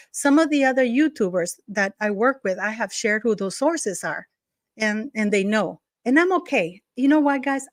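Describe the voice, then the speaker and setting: A woman's voice: on the high side, no room sound. Scornful woman, dry close sound. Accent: non-native English accent. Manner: scornful, gossipy, emphatic, drops curse words. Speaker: YouTube commentator. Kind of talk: casual ranting commentary. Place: at home.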